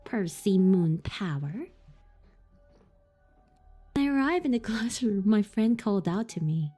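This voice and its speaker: A woman's voice: medium pitch, lively intonation, measured pace, mellow, soft background music. A woman streaming a horror visual novel, reading the on-screen text aloud between giggles and startled swearing. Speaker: streamer playing a horror game.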